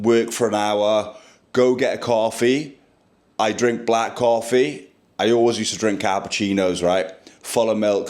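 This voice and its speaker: A young man with a deep voice, chatting earnestly to camera in a casual vlog. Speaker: vlogger. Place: indoors, then outdoors by a pool.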